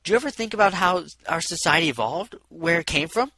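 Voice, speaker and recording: A man's voice: fairly high pitch, lively intonation, quick pace, pushed and bright, slight background noise, voice pushed up. A man voicing a nervous, bookish young female unicorn from a storybook, hesitant and earnest. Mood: earnest, curious.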